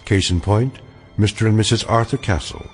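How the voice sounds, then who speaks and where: An actor playing a wry, knowing narrator of a radio drama anthology, measured and portentous. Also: male voice, lowish voice, husky, soft background music.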